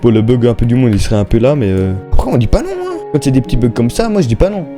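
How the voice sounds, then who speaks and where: A man's voice: medium pitch, sing-song, very fast, over music. A young man giving casual, slangy Minecraft let's-play commentary, chatting and thinking aloud as he plays. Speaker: gaming YouTuber.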